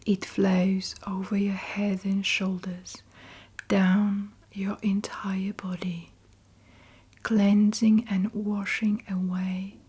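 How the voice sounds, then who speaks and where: A woman leading a guided meditation in a breathy whisper, in deliberate phrases with long pauses between them. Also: medium pitch, dark tone.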